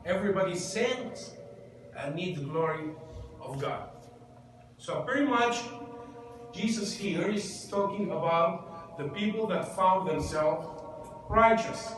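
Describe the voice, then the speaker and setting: A man's voice: high voice, takes it slowly, long pauses, fairly bright tone. A man pastor giving a conversational Bible lesson, asking the congregation questions. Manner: conversational, asks the room questions. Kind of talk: interactive Bible teaching. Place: church. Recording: echoey church hall, distant mic, noisy.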